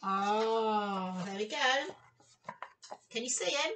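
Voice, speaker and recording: A woman in her fifties giving an expressive storytime read-aloud for young children, with exclamations and chatty asides. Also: a little high, slow, recorded from a distance, heavy background noise. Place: small room at home, indoors.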